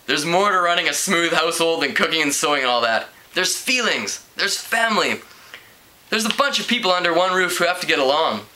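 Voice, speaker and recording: A man's voice: fairly high pitch, bright, forceful tone. A man voicing a young, hip female substitute teacher for a storybook, in a casual, upbeat, assured manner.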